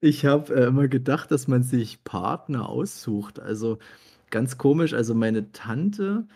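Husky male, casual storyteller with heavy fry, halting and self-mocking delivery. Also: medium pitch, lively intonation, mellow. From Germany. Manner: halting, self-deprecating, searching for words. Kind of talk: casual anecdotal chat among friends.

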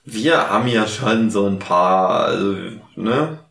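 Male podcast co-host, German, gravelly and slightly low, tipsy dry humour, echoey room. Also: expressive, slow, fairly bright tone. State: drunk. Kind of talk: casual comedic podcast banter.

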